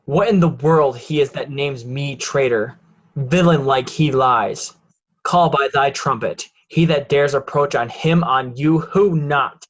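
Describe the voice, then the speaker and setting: A man's voice: medium pitch, measured pace, a bit bright, muffled recording. Proud, defiant nobleman Edmund played by a man. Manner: formal, declamatory. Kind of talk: Shakespearean reader's theatre.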